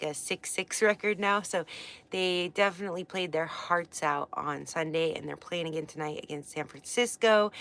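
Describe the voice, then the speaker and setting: A woman's voice: on the low side, a bit bright. A woman from the west coast of the United States, teaching astrology in a casual livestream, chatty and rambling with many fillers and restarts. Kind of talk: casual livestream book study.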